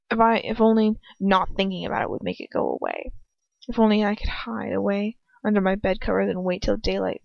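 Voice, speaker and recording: A woman reading as a frightened, despairing young woman who argues with herself in a halting, anguished way. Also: medium pitch, creaky, no room sound, lightly acted. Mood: anxious, despairing. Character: frightened, despairing young woman narrating her own inner turmoil. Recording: dull, muffled lo-fi home recording.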